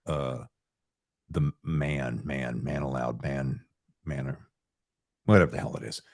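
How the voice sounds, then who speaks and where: A man's voice: rumbling low, creaky, darkish tone. A middle-aged man hosting a casual podcast chat, rambling and salty-tongued as he tells his stories. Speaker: podcast host.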